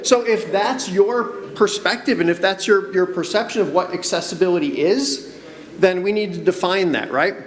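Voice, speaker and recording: Male presenter, fairly high voice, relaxed chatty delivery, echoey conference room. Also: normal speed. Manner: chatty, keeps asking "right?". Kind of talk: casual conference talk. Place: conference room with noise next door. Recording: roomy hall recording.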